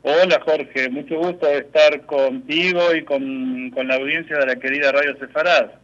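A man's voice: medium pitch, fairly even intonation, moderate pace, a bit bright, dull audio. A man with an Argentine accent, a community spiritual leader answering questions in a radio interview, hesitant, with many fillers and restarts. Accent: Argentine Spanish.

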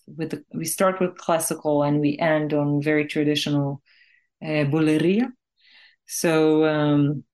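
A woman from Israel speaks in a low-pitched voice as an interviewed singer-songwriter. She is reflective and explains her thinking carefully, in a conversational way. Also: darkish tone.

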